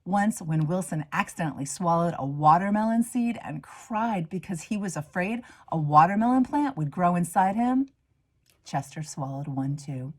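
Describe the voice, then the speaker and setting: Expressive woman reading a children's storybook, lively pitch. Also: moderate pace. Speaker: reader, minister. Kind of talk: children's storybook read-aloud.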